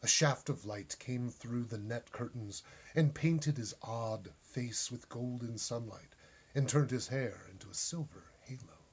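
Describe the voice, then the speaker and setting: A man reading a short story aloud as an expressive narrator. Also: medium pitch, a little slow, breathy edge. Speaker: story reader.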